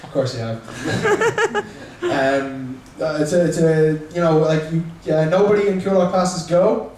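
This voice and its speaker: A man's voice: a little high, measured pace, a little mellow, a little hiss or hum. Dublin-accented young man, echoey bar room. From Ireland. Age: young adult. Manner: chatty, self-mocking, teasing the crowd. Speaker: stand-up comedian. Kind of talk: stand-up comedy set. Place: small bar comedy room. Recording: echoey room, mic far off.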